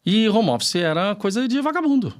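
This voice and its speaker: A man's voice: high voice, very animated, fairly quick. A man with a caipira accent from the São Paulo interior, a trainer guest speaking earnestly with many stammered restarts. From São Paulo state interior, Brazil. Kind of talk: casual interview chat.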